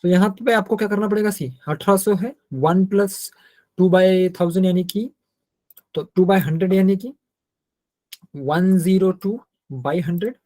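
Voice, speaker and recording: Male online maths tutor, Indian accent, relaxed mellow tone, close dry sound, code-switching, interactive step-by-step delivery. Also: high-pitched, rapid-fire, no room sound. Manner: code-switches between Hindi and English, checks in with students often. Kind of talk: interactive online lesson, working through problems aloud.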